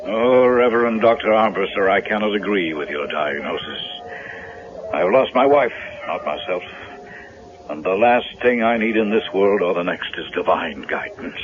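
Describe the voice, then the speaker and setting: An actor playing a proud, bitter widowed doctor in a 1970s radio drama, formal and sardonic. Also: male voice, lowish voice, normal speed, natural voice. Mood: cold defiance. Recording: tinny vintage radio with music.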